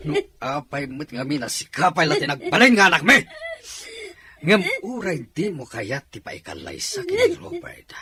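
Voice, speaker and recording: A man playing a stern, domineering middle-aged father in an audio drama, forceful in his delivery. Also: on the high side, huge pitch swings, moderate pace, heavily acted. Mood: angry scolding. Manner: scolding, forceful.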